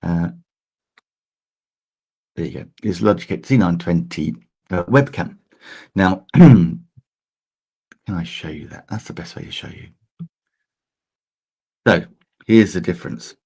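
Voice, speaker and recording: Croaky low-voiced man hosting a live stream. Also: expressive, slow pace, pauses often, warm tone. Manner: chatty, filler-heavy, restarts often. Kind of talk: casual how-to live stream. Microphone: desk USB microphone.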